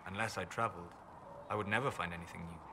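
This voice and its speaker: An actor voicing a calm, reserved elven apostate mage in a video game, speaking in a measured, formal, thoughtful way. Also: male voice, sits low. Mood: reflective. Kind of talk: video game dialogue acting. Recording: clear ambient noise behind game dialogue.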